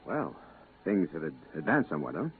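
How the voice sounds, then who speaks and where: An actor playing a polite, hesitant rocket ship captain in a 1950s radio drama. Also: male voice, rumbling low, big pitch swings, brisk, a little hiss or hum. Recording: narrow-band radio recording, crowd chatter behind.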